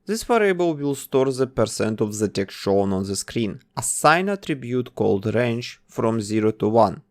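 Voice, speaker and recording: A man narrating a coding tutorial, calmly giving instructions one step at a time. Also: mid-range voice, moderate pace. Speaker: game development tutor.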